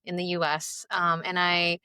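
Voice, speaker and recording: A woman's voice: lowish voice, flat delivery, unhurried, sharp tone, clear, resonant tone, no echo. A woman in her thirties from the United States and China, telling her own story casually and upbeat as a podcast guest. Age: 30s. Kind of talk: conversational personal storytelling.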